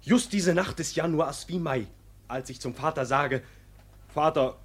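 Casting: plain-spoken, indignant young peasant defendant, fairly high raspy voice, stage-classic radio play. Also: male voice, unhurried. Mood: earnest recounting. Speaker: actor as defendant. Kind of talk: classic German radio play, storytelling testimony.

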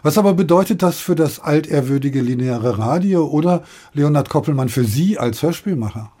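A man's voice: fairly high pitch, lively intonation, normal speed, warm tone. A man hosting a radio programme, delivering prepared links and questions to a guest. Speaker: radio host. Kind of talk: prepared moderation and interview questions.

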